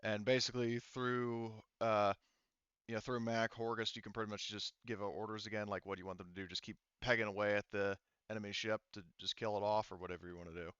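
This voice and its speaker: Clear-voiced man running a tabletop game. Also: on the low side, level tone, fast, a bit bright, dry recording, muffled recording. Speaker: game master. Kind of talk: casual tabletop game refereeing, explaining rules.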